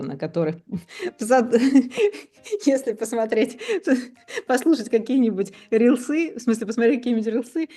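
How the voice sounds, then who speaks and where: A woman's voice: mid-range voice, warm tone. A woman co-hosting a casual discussion, tentatively thinking aloud and rephrasing as she goes. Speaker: podcast co-host.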